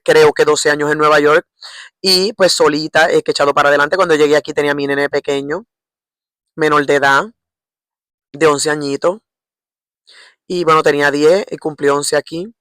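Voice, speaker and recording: A middle-aged woman with a Puerto Rican accent preaching informally in a halting, repetitive way, often checking for agreement with short tag questions. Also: sits low, fairly even intonation, fairly bright tone, no room sound. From Puerto Rico. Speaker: lay preacher. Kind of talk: informal religious exhortation.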